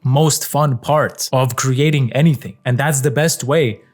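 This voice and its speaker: A young man speaks to the camera with a Lithuanian accent at a mid-range pitch, musing in an easygoing way. His English is conversational and loose, with fillers and the odd curse word. He sounds like a creator thinking out loud. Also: measured pace. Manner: relaxed, conversational, frequent fillers and casual swearing. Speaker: content creator. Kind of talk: casual reflective monologue.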